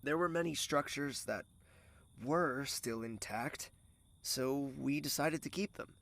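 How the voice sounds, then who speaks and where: A courtly young prince, creaky-voiced. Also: male voice, mid-range voice, slow pace. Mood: earnest, calm. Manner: formal, gentle, sprinkles French phrases. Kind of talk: audio drama acting.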